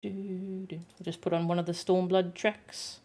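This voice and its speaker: A woman's voice: on the low side, little pitch movement, measured pace, darkish tone. A woman game streamer chatting casually to her viewers, informal and given to muttered asides. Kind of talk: casual live stream chat, reading game text aloud.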